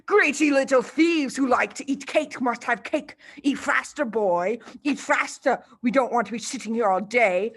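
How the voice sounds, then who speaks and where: A woman voicing a furious, tyrannical headmistress in a storybook reading, shouting and barking orders. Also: a little high, moderate pace, hardly any pauses, breathy edge. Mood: menacing, commanding.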